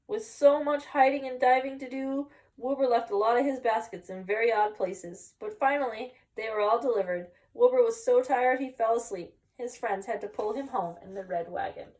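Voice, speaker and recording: A woman with a fairly high voice reads a picture book aloud for children. She tells it expressively and drops in chatty little asides. She sounds a bit away from the microphone. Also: moderate pace, hardly any pauses, recorded from a distance, rough recording. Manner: expressive storytelling with chatty asides to young listeners. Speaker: story time reader, teacher.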